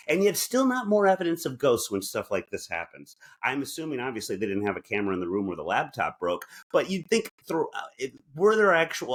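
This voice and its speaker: Lively, low-voiced man joking on a podcast. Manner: wry, joking. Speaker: podcast co-host. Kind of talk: casual comedic chat.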